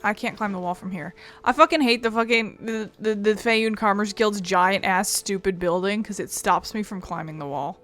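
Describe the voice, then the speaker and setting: A woman's voice: medium pitch, normal speed, fairly bright tone, faint music behind. A woman giving casual, wry let's play commentary as a gaming video host, swearing freely.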